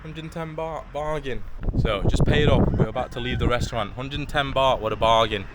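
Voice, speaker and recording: A man's voice: medium pitch, quick pace. A man from Thailand hosting a vlog, ranting candidly and opinionatedly outdoors by a street restaurant, with lots of "you know". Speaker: vlog host. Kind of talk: casual ranting monologue. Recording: clear street traffic noise.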